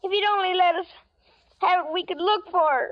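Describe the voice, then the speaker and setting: A woman in 1940s radio acting, voicing a frightened young boy with a high, childlike voice. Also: brisk, dry recording. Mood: eerie. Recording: dull old radio broadcast.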